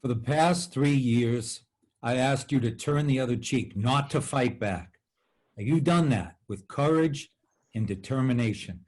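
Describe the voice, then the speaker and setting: An actor playing a fatherly, encouraging baseball club boss in a slow, deliberate manner at a table read. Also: mid-range voice, a little mellow, natural voice. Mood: solemn, encouraging.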